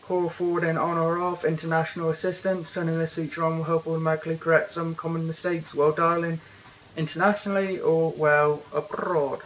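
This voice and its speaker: Flat-voiced British man, hesitant tech walkthrough. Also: fairly high pitch, brisk, hardly any pauses, a little hiss or hum, poor audio. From Britain. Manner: hesitant, many fillers and restarts. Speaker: tech reviewer. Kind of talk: casual hands-on product walkthrough. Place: indoors, bedroom.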